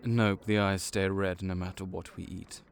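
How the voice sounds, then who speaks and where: A man's voice: sits low, flat delivery, normal speed. A man voicing a male vampire intake officer in an audio roleplay, matter-of-fact and wry as he walks through the paperwork. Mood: businesslike.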